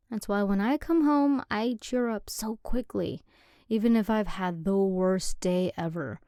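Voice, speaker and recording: A woman's voice: medium pitch, dark tone, dry recording, natural voice. A young woman voicing an affectionate, chatty female demon girlfriend in an ASMR roleplay, rambling and sometimes dropping to a whisper, close to the mic.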